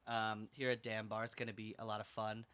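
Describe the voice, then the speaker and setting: Young man, podcast guest, relaxed and joking, bright tone, sentences fade off quietly. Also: lowish voice, fairly quick. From abroad, moved to Finland. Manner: joking, laid-back, trails off quietly. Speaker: guest, event organizer. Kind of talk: casual podcast chat.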